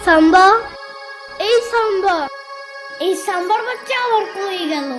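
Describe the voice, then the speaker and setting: A woman voicing a small, cheeky, easily spooked child in a comic cartoon, quick to scold back. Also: extremely high pitch, music in the background. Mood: nervous.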